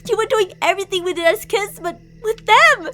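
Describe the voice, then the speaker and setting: A woman's voice: extremely high pitch, brisk, pushed and bright, faint music behind. A woman playing an obsessive, delusional young female stalker and former bully in an ASMR roleplay, clingy and emotionally volatile. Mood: bitter, wounded. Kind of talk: ASMR roleplay, dramatic monologue to listener.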